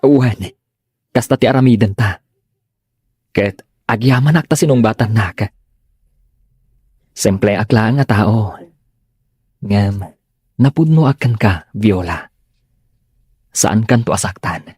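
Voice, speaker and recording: A man's voice: lowish voice, slow pace, pauses often, a little mellow, slightly rough, no room sound, studio quality. A man acting an earnest, devoted young suitor of simple means in an Ilocano drama. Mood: loving, sincere. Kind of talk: Ilocano comedy radio-style drama acting.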